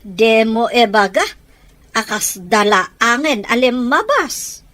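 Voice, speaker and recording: A woman's voice: fairly high pitch, animated, slow pace, a bit bright, no room sound. An actress with an Ilocano Filipino accent playing a suspicious woman questioning others in an audio drama. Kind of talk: Ilocano radio-style drama acting.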